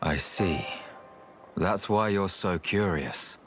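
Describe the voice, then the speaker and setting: A man playing a calm, pragmatic, weary researcher in a video game, speaking in a measured, thoughtful way. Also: sits low, slight background noise. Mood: explaining, pensive.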